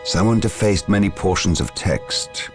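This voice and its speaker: Extremely deep-voiced, solemn warrior scholar. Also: male voice, lively intonation, music in the background. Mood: solemn, studious. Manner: measured, solemn, formal. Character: solemn, proud male warrior scholar of space marines.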